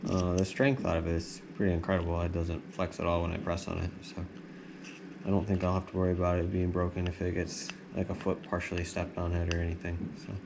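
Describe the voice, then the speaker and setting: A man's voice: low voice, monotone, fast. A man giving a casual, plain-spoken hands-on demonstration for a boat-refit vlog. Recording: clear background noise, ambient sound.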